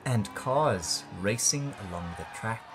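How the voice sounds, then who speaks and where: A storyteller reading a picture book aloud to young children with playful, deliberate, drawn-out phrasing. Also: mid-range voice, animated. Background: music and sound effects.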